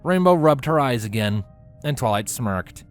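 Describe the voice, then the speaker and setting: A man's voice: medium pitch, lively intonation, moderate pace, faint music behind. A man giving scripted narration of a fan fiction story as the narrator.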